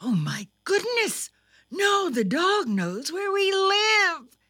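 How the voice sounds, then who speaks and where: A woman's voice: extremely high pitch, takes it slowly, sharp tone, dry recording, clean studio sound, voice pushed up, full character voice. A woman voicing a watchful, fretful mother rabbit in a children's storybook. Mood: alarmed.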